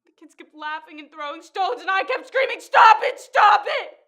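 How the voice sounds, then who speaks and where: A woman performing a stage monologue as a fragile, naive young woman, with plain, halting, childlike phrasing. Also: very high voice, lively intonation, pushed and bright, full character voice. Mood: frantic, distressed. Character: fragile, haunted, naive young woman recounting cruelty to birds.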